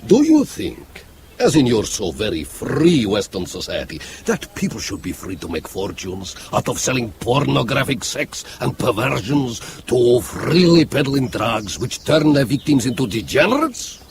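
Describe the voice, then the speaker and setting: An actor playing a genial, flirtatious Soviet KGB colonel, teasing and blustery, in a radio drama. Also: male voice, medium pitch, big pitch swings, unhurried. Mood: indignant, arguing. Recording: clear background noise and ambience.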